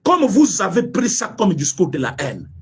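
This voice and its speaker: A Congolese man with a strongly husky, breathy voice pitched high for a man. He delivers heated political commentary with a Congolese French accent. His pitch swings widely as he fires off rhetorical questions. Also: wildly swooping pitch, moderate pace. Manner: emphatic, rhetorical questions, mixes French and Lingala. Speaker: online commentator.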